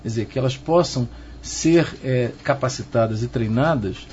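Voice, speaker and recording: A dull, slightly noisy recording carries a middle-aged man with a Carioca accent. He speaks like a professor being interviewed, explaining step by step in a measured way and often seeking a nod of assent. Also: darkish tone. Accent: Carioca Brazilian Portuguese. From Rio de Janeiro, Brazil. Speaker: interviewed expert, professor of security. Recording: muffled low-fi sound, noticeable background noise.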